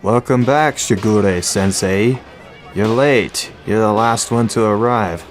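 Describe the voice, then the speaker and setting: A man playing a laid-back, sardonic teenage boy with a deadpan manner in a radio drama. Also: lowish voice, soft background music. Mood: cool, dry. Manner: deadpan, slow. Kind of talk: amateur anime-style radio drama acting.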